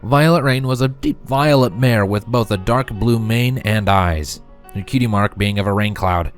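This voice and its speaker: A man narrating a story from a script in an expressive reading style. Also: fairly low pitch, moderate pace. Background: music.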